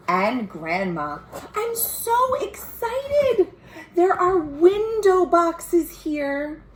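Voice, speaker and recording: A shy but eager young girl read by a woman shares affectionate storybook letters from the 1930s. The voice is fairly high and very slow, lingering on phrases with big rises and falls, over faint noise. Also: heavily acted. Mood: excited. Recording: home video with faint background noise.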